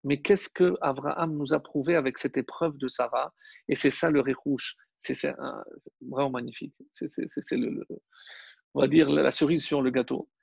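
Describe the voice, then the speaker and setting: A man's voice: mid-range voice, fairly even intonation, moderate pace, vocal fry, a little mellow, husky, no room sound, lo-fi sound. A man, a rabbi whose first language is not French, giving an emphatic Torah class and calling out to his listeners. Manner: emphatic, addresses listeners directly.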